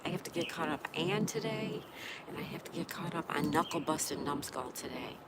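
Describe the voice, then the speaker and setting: A woman with a lowish voice and a congested, stuffed-up nose chats casually on a livestream. She greets viewers by name and answers their comments as they come in. Loud background noise runs under the whole recording. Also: expressive, fairly quick. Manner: greets viewers by name and reads chat aloud. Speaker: livestream host. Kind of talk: casual live chat with viewers.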